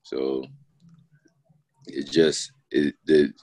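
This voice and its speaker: Deep-voiced laid-back rapper man. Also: flat delivery, drawn-out words, mellow. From Newburgh, New York. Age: adult, not young. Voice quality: naturally deep voice. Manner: laid-back, slangy, many "you know what I mean" fillers. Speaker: rapper guest. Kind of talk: casual interview chat.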